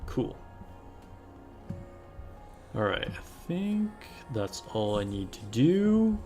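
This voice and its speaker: A man's voice: medium pitch, sing-song, drawn-out words, warm tone. A man with a slightly scratchy voice chatting casually and informally as he hosts a live stream and greets viewers. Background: music.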